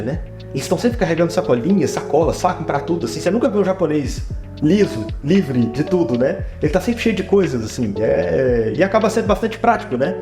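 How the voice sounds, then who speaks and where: A man with a Brazilian Portuguese accent giving talkative, explanatory interview answers, often adding tag questions. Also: fairly high pitch. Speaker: interview guest, photographer and production director. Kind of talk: conversational interview answers with personal anecdotes. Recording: roomy echo, guitar music behind. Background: guitar music.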